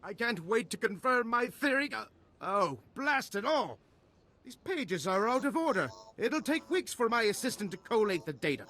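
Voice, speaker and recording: An actor playing a pompous, excitable and callous eccentric scientist in a video game, with theatrical delivery. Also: male voice, medium pitch, moderate pace, lightly acted. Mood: excited. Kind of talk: video game character dialogue.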